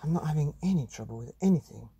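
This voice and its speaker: A stubborn little storybook boy, played by a man, answers plainly and shortly. The tone is husky and soft-edged, recorded close and dry. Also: a little high, expressive, fast talker, no echo, full character voice. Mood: matter-of-fact. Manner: short, matter-of-fact replies. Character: stubborn, matter-of-fact young boy who loves drawing.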